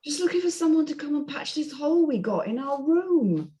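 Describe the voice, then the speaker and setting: A woman's voice: high voice, very animated, brisk, warm tone, voice pushed up. A woman voicing a drunk, irritable British male hotel guest blustering down the phone in a script reading. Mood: irritated, blustering. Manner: blustering, exasperated. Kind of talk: script table-read acting.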